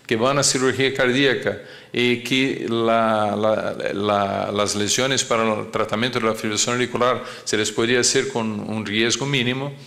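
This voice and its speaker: A man who is not a native Spanish speaker gives a medical lecture, often halting as he searches for words. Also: on the low side, fairly even intonation, fairly bright tone. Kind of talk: conference lecture.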